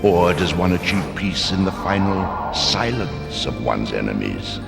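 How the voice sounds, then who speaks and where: An actor playing a brooding, menacing villain delivering a solemn, measured cartoon monologue in echoing reverb. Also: male voice, low voice, lively intonation, vocal fry, loud music behind the voice, full character voice. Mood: brooding menace.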